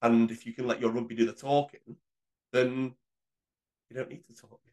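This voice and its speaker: A man's voice: medium pitch, flat delivery, normal speed, a little mellow. A man with a mid-range voice talks casually on a podcast. He speaks in a reflective way about living with a stammer.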